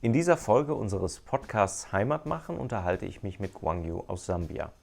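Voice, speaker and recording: A man's voice: on the low side, animated, normal speed, creaky, darkish tone. A German man with a German accent, hosting and interviewing on a podcast.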